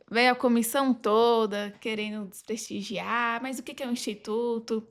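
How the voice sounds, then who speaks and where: A Brazilian woman speaking as a podcast guest, casually recounting experiences in informal, halting phrases. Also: fairly high pitch. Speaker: podcast guest, legal advisor. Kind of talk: casual anecdotal conversation.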